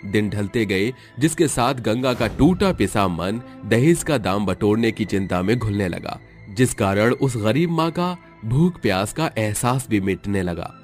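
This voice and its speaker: A man's voice: lowish voice, expressive, unhurried, a little mellow. A man reading scripted, dramatic storytelling narration. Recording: music under the voice.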